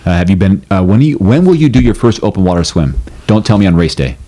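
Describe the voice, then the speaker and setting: Male podcast co-host, fairly low voice, easygoing and chatty, restarts his sentences often. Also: quick pace. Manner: relaxed, chatty, frequent restarts. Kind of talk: casual chat.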